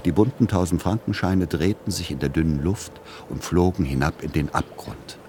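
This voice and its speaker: An actor playing a melancholy grown son, narrating his past in a measured, reflective radio play style. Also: deep voice, a little mellow, a little hiss or hum, close to an everyday voice. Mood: tense, wistful. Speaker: first-person narrator.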